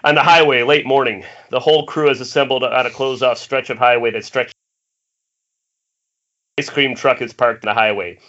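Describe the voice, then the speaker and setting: A man's voice: mid-range voice, fairly even intonation, moderate pace, fairly bright tone. A man reading screenplay stage directions as scripted narration over a dull internet call.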